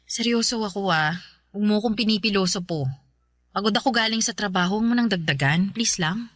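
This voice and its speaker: A woman's voice: medium pitch, a little fast, fairly bright tone, dry recording, studio quality, natural voice. An actress playing a weary, irritated young working woman in an emotional, confrontational radio drama. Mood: weary, serious. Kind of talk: Tagalog radio drama acting. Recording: music bed behind the voice.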